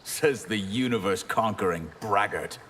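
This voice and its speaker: A man voicing a cold, menacing shapeshifting water sorcerer in a fighting game, deliberate and disdainful. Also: fairly low pitch, a bit bright, a little hiss or hum, natural voice. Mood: cold menace. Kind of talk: video game fight intro banter.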